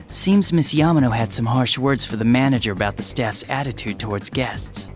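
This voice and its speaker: A man voicing a chatty, bumbling young police detective in a video game dub, gossipy, informal and quick to apologize. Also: medium pitch, close to an everyday voice. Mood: gossipy, confiding. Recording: background music under the voice.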